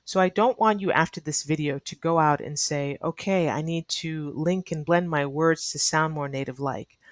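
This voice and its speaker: A woman's voice: deep voice, moderate pace, dry recording. Earnest woman teaching English pronunciation. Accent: neutral American. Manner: earnest, repeats key advice for emphasis. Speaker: ESL teacher. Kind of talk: online English lesson, explaining to learners.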